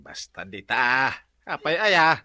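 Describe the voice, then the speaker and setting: A man's voice: mid-range voice, sing-song, measured pace, pushed and bright, no room sound, clean studio sound, heavily acted. A man with an Ilocano accent playing a stubborn, grumbling old husband in a comedy radio drama.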